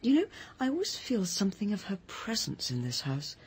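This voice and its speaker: An actress playing a thoughtful, wistful woman, speaking in a soft, musing way in a radio drama. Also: medium pitch, wildly swooping pitch, fairly quick, slightly rough, full character voice.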